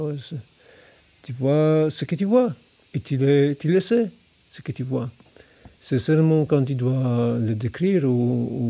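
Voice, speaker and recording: A middle-aged man with a Polish accent, whose first language is Polish, speaking as a Buddhist monk in a halting, thoughtful way. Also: medium pitch, vocal fry, dark tone, no room sound. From Poland. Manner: halting, thoughtful, many pauses. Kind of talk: reflective spoken explanation.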